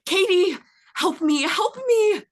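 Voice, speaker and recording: Woman acting a defiant, slow-drawling beauty queen. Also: extremely high pitch. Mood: desperate. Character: defiant beauty queen fighting a masked killer. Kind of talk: screenplay table read.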